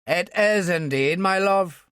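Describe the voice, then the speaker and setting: A man's voice: sits high, takes it slowly, fairly bright tone, smooth, no echo, clean studio sound, voice pushed up. A male narrator voicing a tough Irish operative who slips into a Northern Irish accent, blunt and urgent.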